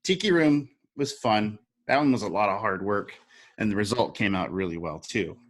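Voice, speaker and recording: A man's voice: on the low side, normal speed. A man chatting informally as a cast member on a group video call. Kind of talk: casual chat.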